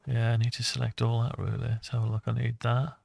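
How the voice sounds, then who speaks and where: A man thinking aloud and muttering to himself through an unscripted software walkthrough. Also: mid-range voice, monotone, fast, vocal fry, no room sound. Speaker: hobbyist tutorial maker.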